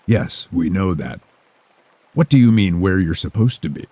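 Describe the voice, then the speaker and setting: Man as weary skeptical detective, blunt questions, low husky voice, dry sound. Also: big pitch swings, normal speed, warm tone, dry recording. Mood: questioning. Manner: blunt, matter-of-fact questioning. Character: tired, skeptical male police detective.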